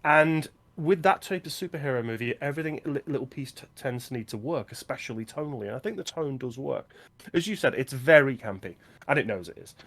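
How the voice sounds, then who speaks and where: Male film podcast co-host, mid-pitched, casual discussion style, analytical and opinionated. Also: animated, a little fast, a bit bright.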